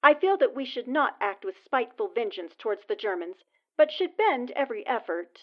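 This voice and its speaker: A woman's voice: a little high, very animated, fairly quick, highs cut off. A woman playing an earnest newspaperwoman dictating a column, heard as dictaphone playback in a 1940s-style radio drama. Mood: earnest, measured.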